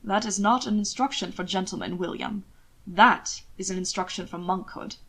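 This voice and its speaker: A woman's voice: mid-range voice, moderate pace, fairly bright tone. Teasing period lord for story reading, dry and genteel, performed by a woman. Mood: amused, goading. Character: teasing, amused aristocratic gentleman, Lord Clarges. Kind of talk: fiction read aloud, character dialogue.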